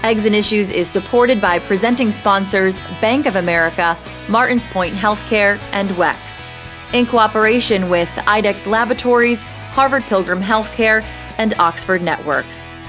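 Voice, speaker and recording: A woman television news presenter reading a scripted program introduction with polished, even delivery. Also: mid-range voice, moderate pace, over music. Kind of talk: scripted broadcast announcement.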